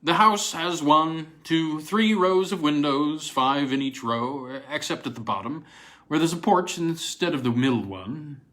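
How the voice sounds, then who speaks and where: A man playing a measured, obliging male college fellow in a storybook reading, speaking precisely with small hesitations. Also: a little high, fairly bright tone. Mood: matter-of-fact. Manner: hesitant, precise, matter-of-fact.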